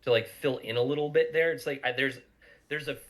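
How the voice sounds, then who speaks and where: Halting man giving casual commentary. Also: medium pitch. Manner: halting, repeats words as he thinks aloud. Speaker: audio drama creator giving commentary. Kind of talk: casual behind-the-scenes commentary.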